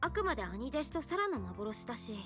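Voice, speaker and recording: A woman's voice: fairly high pitch, big pitch swings, very fast. A woman voicing a thoughtful, inquisitive young woman in an anime game, musing conversationally. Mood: pensive. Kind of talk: Japanese anime game voice acting. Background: game music.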